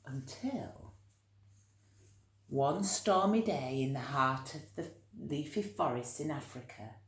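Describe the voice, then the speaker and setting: A woman reading a children's story expressively, with dramatic emphasis and drawn-out key words. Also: a bass voice, echoey room.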